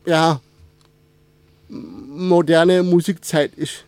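A young man with Turkish as his first language talks at an unhurried pace in a high, soft-edged voice. He stops, restarts and fills gaps often, like a casual guest feeling his way through questions. The sound is dry and close. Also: steady tone, vocal fry, no echo. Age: 20s. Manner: halting, many fillers and restarts. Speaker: radio guest. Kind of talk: casual interview.

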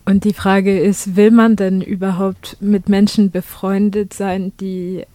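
Slow, measured woman in discussion. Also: mid-range voice, level tone, warm tone, no room sound. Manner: reflective, measured. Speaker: discussion participant. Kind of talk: studio discussion.

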